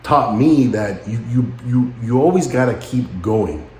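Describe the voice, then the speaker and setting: A man in his late thirties speaking in a halting, somber and introspective way, as in a personal vlog monologue. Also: medium pitch, expressive, a little mellow, roomy sound, slight background noise. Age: late 30s. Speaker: vlogger.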